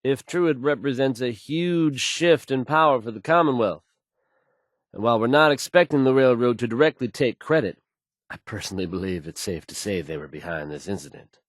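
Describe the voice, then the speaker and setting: A man's voice: medium pitch, a little fast, dry recording, lightly acted. A man playing a self-assured radio newscaster in a video game, fluent and folksy as he announces the news. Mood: assured, serious. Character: confident, smooth, assured male radio newscaster.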